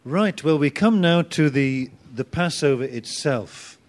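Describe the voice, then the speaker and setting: A man's voice: fairly high pitch, lively intonation, measured pace. A man teaching an informal Bible class, chatty and self-deprecating, with sudden bursts of emphasis.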